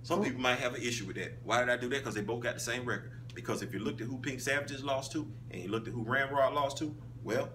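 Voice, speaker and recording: Animated man recapping football, bright voice. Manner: animated, emphatic, swings between loud calls and low asides. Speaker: flag football league host. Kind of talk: casual sports recap monologue. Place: small indoor room, in front of a wall poster. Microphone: phone camera at arm's length. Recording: phone audio, a bit distant, faint hum.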